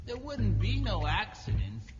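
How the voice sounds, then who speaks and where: A man playing a menacing racketeer thug in a comic book drama, with mock sympathy and rough street talk. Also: sits high, wildly swooping pitch, a little slow, full character voice. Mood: smug threat. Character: menacing gangster thug running a protection racket. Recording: muffled, loud music underneath.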